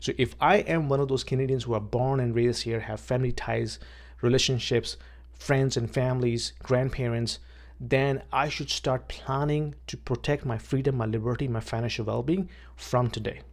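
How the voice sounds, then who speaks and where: Man from Pakistan, gravelly voice, casual talk to camera, repeats words, says okay a lot. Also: mid-range voice, fairly even intonation, normal speed. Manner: plainspoken, repeats words, frequent "okay" and "right". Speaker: vlogger and realtor. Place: outdoors.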